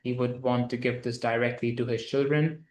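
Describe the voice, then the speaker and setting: A man, a lawyer explaining procedures to an interviewer in a steady, matter-of-fact way. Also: medium pitch, moderate pace. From grew up in India. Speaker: lawyer, guest. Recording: echoey room.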